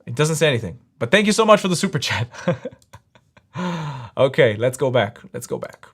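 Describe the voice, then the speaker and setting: A man's voice: medium pitch, expressive, normal speed, husky. A man hosting a live stream, reading out super chats and debating in an emphatic, insistent way that repeats his points. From Israel.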